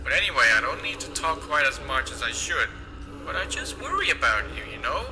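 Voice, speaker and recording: A man's voice: on the high side, sing-song, fairly quick, background noise. A man doing a rambling night-shift phone guy. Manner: rambling, casual, offhand with fillers. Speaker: phone guy in a horror fan game. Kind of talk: recorded phone message acting.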